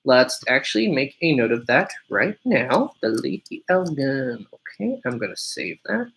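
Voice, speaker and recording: A young man with a deep voice hosting a casual live stream, reading viewer questions aloud and answering them one by one. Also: sing-song, a little slow, gravelly, a bit bright.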